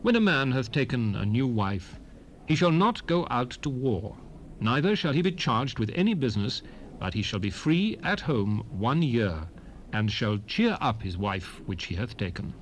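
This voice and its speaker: A man playing a solemn lawgiver in a dramatized Bible recording, declaiming ancient commandments with deliberate, archaic diction. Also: medium pitch, very animated, natural voice, poor audio. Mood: solemn, commanding. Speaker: narrator reading scripture. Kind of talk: dramatized King James Bible reading. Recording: hissy 1978 cassette rip.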